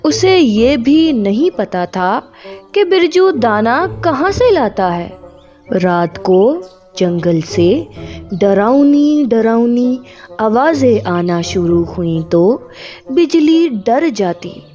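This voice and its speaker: A woman's voice: fairly high pitch, sing-song, drawn-out words, warm tone, highs cut off. A woman narrating a scripted children's cartoon story as a storyteller. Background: music.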